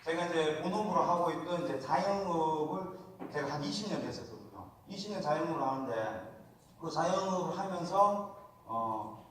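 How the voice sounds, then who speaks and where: A man's voice: fairly high pitch, animated, normal speed, slight background noise. A man with a regional Korean accent giving a seminar talk in a chatty, folksy way, often asking the audience to answer back. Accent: Korean regional dialect. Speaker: seminar speaker. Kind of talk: motivational seminar lecture. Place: large lecture hall. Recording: echoey hall, mic heard from a distance.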